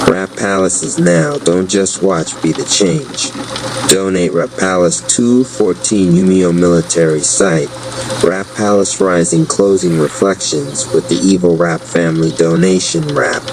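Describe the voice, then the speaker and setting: A man with a very low voice reads scripted promo copy in an even, announcer-like way. A steady wash of noise sits under him the whole time. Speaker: narrator. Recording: clear steady background noise.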